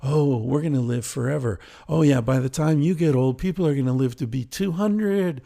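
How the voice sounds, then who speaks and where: A man's voice: medium pitch, moderate pace, vocal fry, dark tone, natural voice. A man mimicking a glib, breezy booster of technological progress with sarcastic, exaggerated enthusiasm. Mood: mock excitement.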